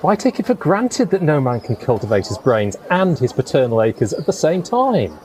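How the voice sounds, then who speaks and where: An actor playing a dreamy, melancholy gentleman poet in a period audio drama, with a wistful, literary manner. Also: fairly high pitch, sing-song, normal speed.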